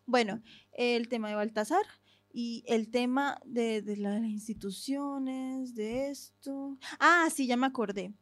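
A woman co-hosting a podcast in casual conversation, talking haltingly with many fillers and restarts. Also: a little high, steady tone, a bit bright, dry recording. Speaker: podcast co-host.

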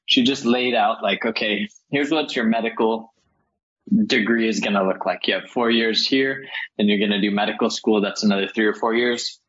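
A man in his forties from Texas, telling his life story in a relaxed, rambling, self-deprecating way as an interview guest. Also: fairly low pitch, normal speed. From El Paso, Texas, USA. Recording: muffled lo-fi audio.

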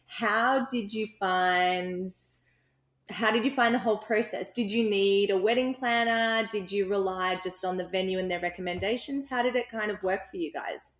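A woman hosting a podcast, asking long questions in several parts. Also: mid-range voice. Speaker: podcast host. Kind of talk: casual interview. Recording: echoey room.

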